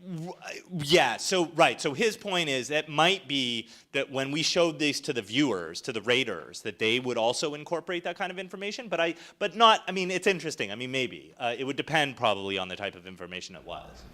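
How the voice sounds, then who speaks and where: Male panelist with a bright, mid-pitched voice; thinks aloud, restarts often. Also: expressive, a little fast, hardly any pauses. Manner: hesitant, thinks aloud with many fillers and restarts. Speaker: panel presenter, researcher. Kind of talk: answering audience questions at a panel.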